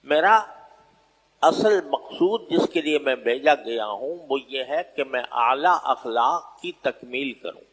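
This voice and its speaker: A man preaching an Islamic sermon in a deliberate, humble and formal explanatory way, pausing between short phrases. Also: mid-range voice, lively intonation, fairly bright tone, slightly rough. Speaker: Islamic preacher addressing listeners. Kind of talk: sermon, unscripted religious exposition. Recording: echoey hall with long reverb.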